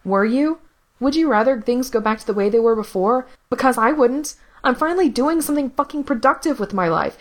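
A woman voicing an anxious young man who pleads with his brother in a high, strained voice. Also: expressive, normal speed. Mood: pleading.

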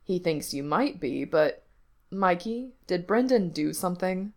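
A woman's voice: fairly low pitch, expressive, a little slow, darkish tone. A woman voicing a caring, patient father figure in a story, speaking frankly and informally. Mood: worried, gentle. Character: caring, patient fatherly man, gently concerned.

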